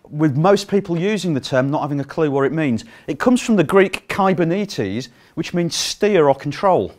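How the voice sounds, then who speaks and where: A middle-aged British man giving a conference talk, projecting his voice without a microphone and speaking with plenty of ums and uhs. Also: on the high side. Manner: projecting to fill a room without a sound system; lively, frequent ums and uhs. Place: conference room.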